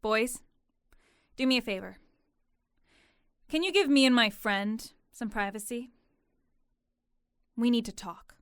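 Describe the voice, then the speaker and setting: A woman playing an entitled, dramatic young schemer in an audio drama, speaking in a deliberate, theatrical way. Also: on the high side, fairly bright tone, clear, resonant tone, no room sound, clean studio sound. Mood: subdued.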